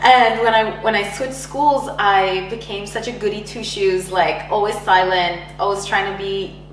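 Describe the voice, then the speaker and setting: A woman's voice: medium pitch, steady tone, a little slow, faint music behind. A young woman from Egypt talking casually in an interview, with light laughs and lots of filler words. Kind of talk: casual oral history interview. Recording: roomy echo, distant mic, faint hum.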